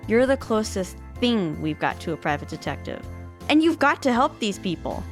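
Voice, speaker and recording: Pushy, blunt female friend, played by an actress, insistent and lively in pitch, radio drama with faint music. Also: normal speed, close to an everyday voice. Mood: insistent, scolding. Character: pushy, bossy female tenant and nagging friend. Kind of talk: audio drama dialogue.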